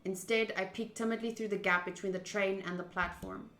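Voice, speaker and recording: A woman narrates a visual novel for a live stream and chats between passages. Her voice is bright and clear-cut in tone, with an expressive, chatty delivery. The sound is noticeably echoey, as from a reflective room. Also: mid-range voice, a little fast. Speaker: game streamer. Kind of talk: live visual novel playthrough, reading text aloud and chatting.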